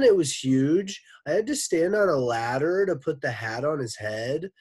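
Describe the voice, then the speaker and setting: Man doing a loud, boastful schoolboy. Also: a little high, husky, voice pushed up. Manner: blustering, boastful.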